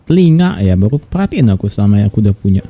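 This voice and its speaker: A man with an Indonesian accent giving casual gameplay commentary in a husky voice. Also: on the low side, sing-song, racing, dark tone. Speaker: game streamer. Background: game music.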